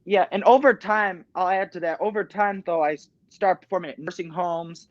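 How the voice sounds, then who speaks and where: Teen boy with a high, chatty voice. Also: normal speed, muffled recording, a crowd in the background. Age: teens. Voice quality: high talking voice. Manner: chatty, many fillers like "you know". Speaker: guest, Elvis tribute artist. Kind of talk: casual interview chat. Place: small home studio indoors.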